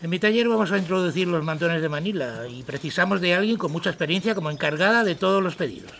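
A man's voice: high voice, expressive, quick pace, vocal fry, fairly bright tone, a little hiss or hum, exaggerated voice. A man playing a courteous, businesslike workshop owner, speaking formally in a radio drama. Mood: formal, assured. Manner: formal, polite.

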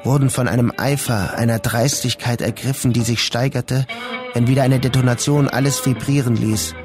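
A male narrator reads scripted literary prose for a radio drama. His phrasing is sober and deliberate, at a medium pitch, with music clearly audible behind him. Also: steady tone.

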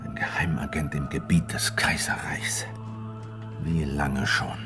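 An actor playing a driven, brooding revolutionary hunting a spy, intense and probing, in a German radio drama. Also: male voice, rumbling low, little pitch movement, measured pace, whispered. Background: prominent music.

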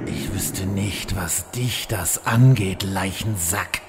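An actor playing an irritable, easily provoked demon lord in a parody fantasy audio drama, gruff, indignant and defensive. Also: male voice, deep voice, slow pace. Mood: surly, guarded. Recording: reverberant hall, faint noise.

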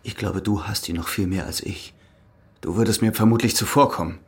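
An actor playing a brooding ex-convict husband in a German radio crime drama, speaking in a terse, withdrawn way. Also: male voice, lowish voice, brisk, lightly acted. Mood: guarded, dry. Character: guarded, brooding ex-convict husband, bitter and tense within.

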